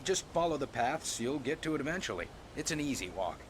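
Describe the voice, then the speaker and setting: An actor playing a friendly, easygoing park ranger in a video game cutscene. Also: male voice, medium pitch, sing-song, fairly quick, background noise, lightly acted. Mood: friendly, helpful. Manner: affable, easygoing.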